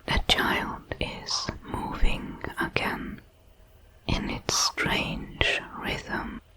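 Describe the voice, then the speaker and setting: A woman voicing a detached, curious alien creature in a sci-fi ASMR roleplay, whispering in slow, deliberate, clinical phrases. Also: sharp tone. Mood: curious, measured. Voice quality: breathy whisper.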